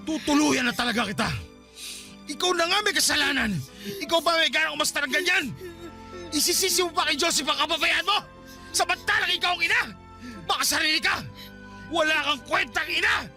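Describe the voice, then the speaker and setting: Anguished, high-pitched Filipino father. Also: a little fast, long pauses, soft background music, heavily acted. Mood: furious. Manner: anguished, shouting accusations. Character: grieving, enraged working-class father blaming his wife. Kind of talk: Filipino radio drama acting.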